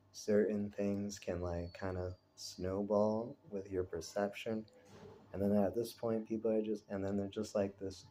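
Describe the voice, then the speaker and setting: A man recording a casual personal vlog, talking in a rambling, introspective, halting way. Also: on the low side, dark tone, slight background noise. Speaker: vlogger.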